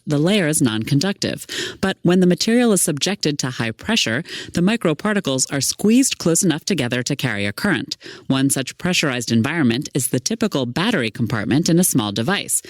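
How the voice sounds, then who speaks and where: A man narrates a scripted science piece in a slightly high voice. His intonation moves up and down in an animated way. The sound is very dry, with no room echo.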